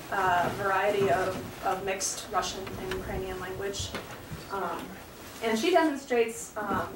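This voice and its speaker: Young woman presenting research, earnest, lots of ums; echoey room, distant mic, background noise. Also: mid-range voice. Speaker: guest speaker, graduate student. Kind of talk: academic talk. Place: lecture room.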